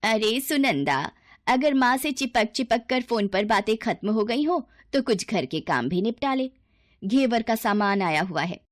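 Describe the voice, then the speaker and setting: A woman's voice: on the high side, animated, measured pace, dry recording, studio quality. A woman as a haughty Indian-storybook mother-in-law. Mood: scornful, sarcastic. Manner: sarcastic, scolding. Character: haughty, scornful middle-aged mother-in-law, later remorseful.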